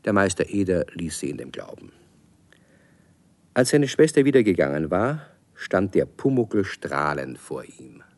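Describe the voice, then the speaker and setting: A man's voice: deep voice, sing-song, unhurried, a little mellow. A man narrating a scripted children's story in a radio play.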